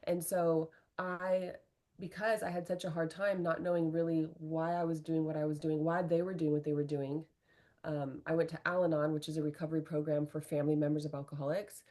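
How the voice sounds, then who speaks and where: Middle-aged woman, low-pitched, relationship coach; earnest, candid interview talk. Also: fairly quick. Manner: earnest, animated, fillers like "right?".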